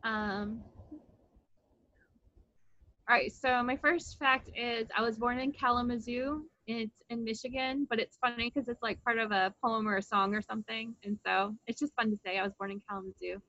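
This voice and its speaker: A woman's voice: fairly high pitch, steady tone, moderate pace, sharp tone, dry recording. A woman in her thirties, co-host of a crafting chat, talking casually and laughing easily over a video call from home. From New Hampshire and Virginia, US. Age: 30s. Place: home room. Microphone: webcam on video call. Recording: dull lo-fi call audio.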